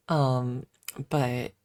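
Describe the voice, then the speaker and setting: A woman streamer chatting casually in a soft, hesitant ASMR style with playful self-deprecation, close to the microphone. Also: very deep voice, no echo. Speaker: ASMR livestreamer. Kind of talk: ASMR, casual rambling chat.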